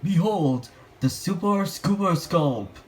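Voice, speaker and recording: An eager cartoon boy inventor, acted out by a man for a storybook. The pitch sits high and the delivery is boastful and emphatic. There is noticeable noise behind the voice. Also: animated, slow. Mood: triumphant.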